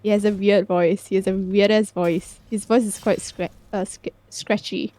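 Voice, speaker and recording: A woman with a slightly scratchy voice, co-hosting a podcast in casual, halting chat full of restarts. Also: medium pitch, steady tone, warm tone. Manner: rambling, halting restarts, losing her train of thought.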